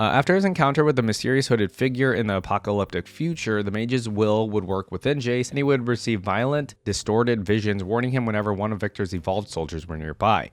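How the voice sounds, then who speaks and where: A man's voice: on the low side, dry recording. A man giving casual, off-the-cuff commentary as a video host, informal and hesitant as he thinks aloud.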